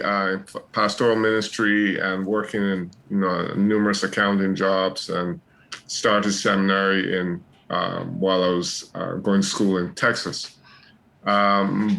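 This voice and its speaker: A man in his sixties, a pastor, speaking haltingly with many fillers as an interview guest. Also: on the low side, gravelly, bright, forceful tone. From Barbados and England. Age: 60s. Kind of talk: casual interview.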